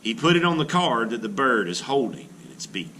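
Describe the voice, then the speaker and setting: A man's voice: mid-range voice, big pitch swings, fairly quick, sharp tone, a little hiss or hum. A man, an elementary art teacher, reads a picture book aloud to children with expression and breaks off now and then to add his own teacherly asides.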